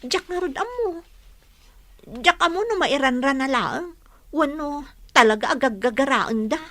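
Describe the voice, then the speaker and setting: A woman's voice: high-pitched, animated, unhurried, sharp tone, dry recording, full character voice. A woman playing a worried young village daughter with an Ilocano accent, confiding earnestly in a radio drama. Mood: confiding, pensive.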